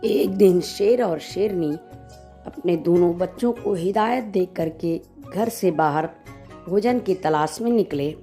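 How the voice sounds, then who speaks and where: A woman's voice: on the low side, takes it slowly, dark tone, soft background music. A woman storyteller narrating a children's bedtime story.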